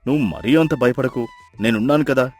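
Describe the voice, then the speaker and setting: A hospitable village fisherman, played by a man in a moral story. He speaks at a middling pitch in a firm, reassuring manner, over faint music. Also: brisk, a little mellow, slightly rough, natural voice. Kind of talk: acted moral story dialogue.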